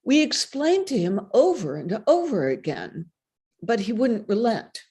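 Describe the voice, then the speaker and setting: A woman giving a dramatic reading of a first-person literary story in a measured, deliberate way. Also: on the high side, sing-song, warm tone, breathy edge. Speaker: reader of a short story.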